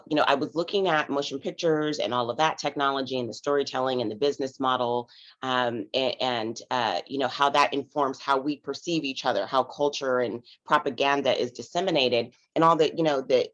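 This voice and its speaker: A woman in her forties with a raspy morning voice, an investor guest telling her story in a rambling way full of "you know" fillers over a video call. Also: very deep voice, fairly bright tone, lo-fi sound. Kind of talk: casual conversational storytelling.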